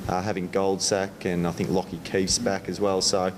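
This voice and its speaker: Aussie footy coach guy, really low voice, echoey room with a bit of rumble. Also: little pitch movement, moderate pace. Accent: Australian. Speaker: football coach. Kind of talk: interview answers. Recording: reverberant room with faint rumbling noise.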